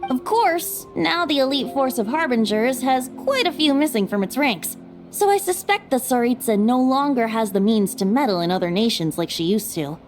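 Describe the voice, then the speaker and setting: A woman's voice: on the high side, animated, normal speed, close to an everyday voice. A woman playing a whimsical, worldly-wise young male bard in an English-dubbed fantasy game, with a light boyish tone and a playful yet reflective manner. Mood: reflective, measured. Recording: music under the dialogue. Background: game music.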